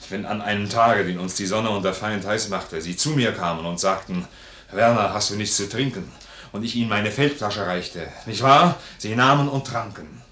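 An actor playing a loyal, plain-spoken, stubbornly generous sergeant in a 1950s German radio play, hearty and earnest. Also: male voice, on the low side, moderate pace, sharp tone. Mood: earnest, insistent. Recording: roomy vintage radio studio recording.

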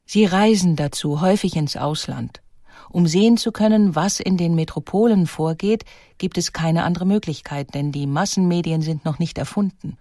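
Woman narrator doing a scripted documentary, warm relaxed tone, composed, dry close sound. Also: lowish voice, normal speed, no echo.

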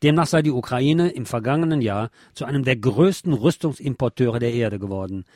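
A man's voice: mid-range voice, animated, brisk, dark tone, no echo, studio quality. A man presenting on radio, reading scripted news and political commentary.

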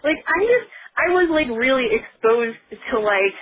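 A woman in her thirties chats as a guest, her voice a little high and quick to break into laughter. She talks in a loose, rambling way full of filler words. The sound is thin and tinny, like a phone line. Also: expressive. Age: 30s. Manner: chatty, laughs often, many fillers. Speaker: guest, author. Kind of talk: casual conversation.

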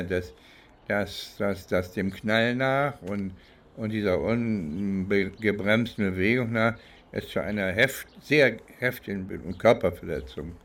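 Slow, halting man from East Germany. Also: lowish voice. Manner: halting, deliberate, searching for words. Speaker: interviewee. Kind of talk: reflective spoken recollection.

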